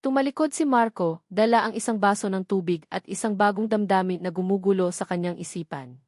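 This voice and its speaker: A woman with a Filipino accent narrating a scripted romantic drama in a measured, dramatic way with emphatic short phrases. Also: medium pitch, darkish tone, no room sound, clean studio sound.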